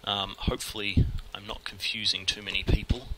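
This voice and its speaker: Australian man, low gravelly voice, quick informal tutorial patter, noisy desk mic with typing behind. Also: flat delivery. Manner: fast, informal, mutters at the software. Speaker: 3D modelling tutor. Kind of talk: screen-recorded software tutorial, thinking aloud. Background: keyboard typing and mouse clicks.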